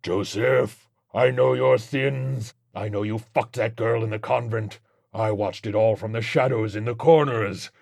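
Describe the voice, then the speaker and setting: A man voicing a boastful, sadistic demon in an audiobook, with a booming, taunting delivery. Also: steady tone, gravelly, a little mellow, no room sound, studio quality. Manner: slow, taunting, drawn-out delivery.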